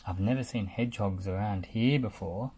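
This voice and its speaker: A man's voice: lowish voice, moderate pace, warm tone, voice pushed down, lightly acted. A man voicing a curious, earnest talking farm vehicle with a pleading manner in a children's storybook. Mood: concerned. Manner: pleading, wondering.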